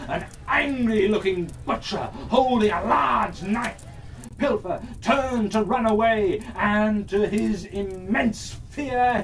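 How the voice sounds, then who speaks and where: Fairytale narrator, dramatic and deliberate, frequent pauses, slightly distant mic with faint background noise. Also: medium pitch. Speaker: storyteller.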